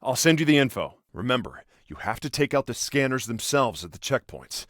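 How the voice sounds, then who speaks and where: A man's voice: mid-range voice, sing-song, a little fast, a bit bright, dry recording, clean studio sound. A man with an American accent playing a protective, exasperated cop and older brother in a video game, earnest, bossy and wry. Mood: earnest briefing.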